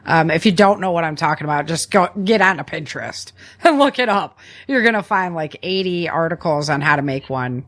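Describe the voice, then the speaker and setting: A woman's voice: low voice, lively intonation, a little fast, fairly bright tone. A woman hosting a podcast in casual, chatty conversation from a family room at home, using informal, slangy language. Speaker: podcast host.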